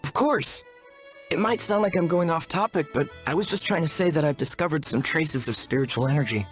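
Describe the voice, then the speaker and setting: A man's voice: a little high, fairly quick, natural voice. An actor playing an earnest, courteous and wordy young shaman apprentice in a video game, speaking in a formal, polite manner. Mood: earnest, proud. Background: music.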